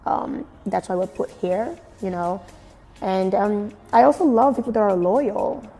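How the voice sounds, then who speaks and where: A woman from Nigeria with a Nigerian accent mixed with British and American, giving expressive, emphatic answers in a casual interview. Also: mid-range voice, measured pace, slightly rough. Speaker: fashion blogger being interviewed. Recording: very echoey room with music. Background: music.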